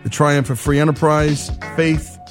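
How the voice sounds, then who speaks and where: Male radio host, deliberate scripted intro, slightly higher voice, quiet music bed. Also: little pitch movement.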